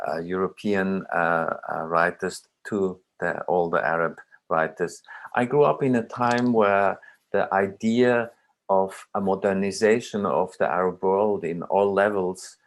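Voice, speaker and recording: Older guy on a video call, very low voice, lots of ums and restarts, talking it through slow. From Iraq. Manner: hesitant, halting, many fillers and restarts. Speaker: film director, panel guest. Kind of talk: remote panel talk.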